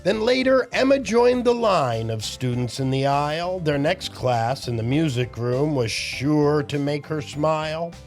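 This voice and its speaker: Older man, children's storytime host, high-pitched for a man, very animated, theatrical, music behind. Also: unhurried, hardly any pauses. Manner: animated, theatrical, addressing young listeners directly. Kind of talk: rhyming read-aloud narration and cheery chat to children.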